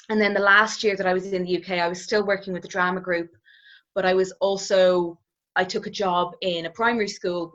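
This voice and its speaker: A woman in her twenties with an Irish accent, a guest telling her story in a relaxed, reflective way and often hedging with "kind of". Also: medium pitch. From Ireland. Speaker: interview guest. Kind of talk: casual conversational storytelling. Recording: slightly dull, muffled audio.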